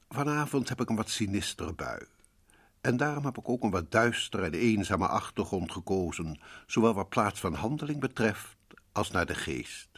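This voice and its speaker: Casting: ominous radio horror narrator, lowish husky voice, deliberate and theatrical. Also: male voice, exaggerated voice. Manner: ominous, deliberate, sometimes sinking to hushed tones. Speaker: host and narrator. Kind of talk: dramatic scripted radio narration.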